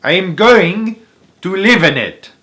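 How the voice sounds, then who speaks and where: Man putting on a loud, haughty foolish prince. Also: sits high, animated, takes it slowly, voice pushed up, full character voice. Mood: indignant. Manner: shouting, indignant.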